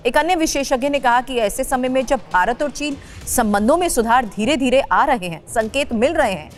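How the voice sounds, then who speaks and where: A woman's voice: sits high, animated, moderate pace, faint music behind. A woman presenting a scripted news report.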